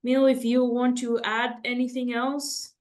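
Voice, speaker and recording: A woman's voice: fairly high pitch, level tone, unhurried, a little mellow. A woman moderating a webinar, reading out audience questions steadily with brief acknowledgements.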